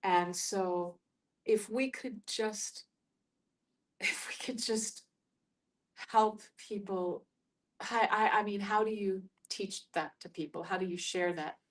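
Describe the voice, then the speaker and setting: A woman in a reflective group discussion, speaking haltingly as she searches for her words. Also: medium pitch, fairly even intonation.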